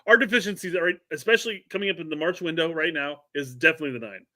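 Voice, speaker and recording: A man's voice: a little high, racing, pushed and bright. A man hosting a casual podcast chat, often restarting his sentences and agreeing readily. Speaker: host.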